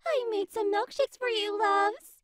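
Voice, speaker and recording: A woman's voice: extremely high pitch, dry recording. A woman voicing a flirtatious, vain demon deity of pleasure in a comic dub, with theatrical, coquettish delivery. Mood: coy, teasing. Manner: theatrical, coquettish, drawn-out endearments.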